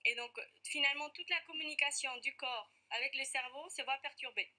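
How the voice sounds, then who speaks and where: Woman, Argentine accent, high-pitched, very bright, earnest, hesitant, TV interview guest. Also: a little fast. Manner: earnest, many hesitations with "euh". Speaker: guest, parent activist. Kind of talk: TV interview answers. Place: TV studio.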